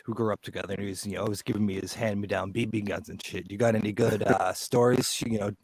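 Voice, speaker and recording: A man's voice: on the low side, steady tone, fast, darkish tone, dry recording. A man co-hosting a podcast with casual, blunt wisecracks and some swearing. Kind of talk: casual banter.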